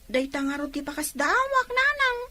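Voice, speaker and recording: A woman's voice: sits high, sing-song, moderate pace, sharp tone, no echo, heavily acted. A woman acting as a respectful young daughter with dreams in an Ilocano radio drama. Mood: troubled.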